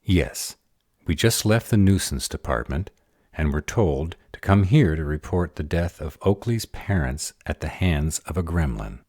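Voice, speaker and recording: A man's voice: extremely low pitch, lively intonation, a little slow, a little mellow, no room sound, studio quality, heavily acted. An actor playing a sarcastic but protective male were-dragon in an audio roleplay, muttering sardonic asides. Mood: matter-of-fact.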